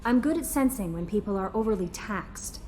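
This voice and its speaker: A woman's voice: medium pitch, expressive, a little fast, warm tone, a little hiss or hum, lightly acted. A woman playing an earnest, personable young ship's aide in a video game. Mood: friendly. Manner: eager, personable.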